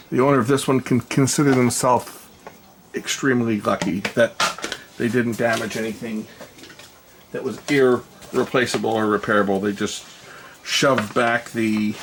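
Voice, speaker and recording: Gravelly-voiced man giving casual repair commentary. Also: mid-range voice, background noise. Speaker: repair technician presenting his own video. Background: music playback, guitar.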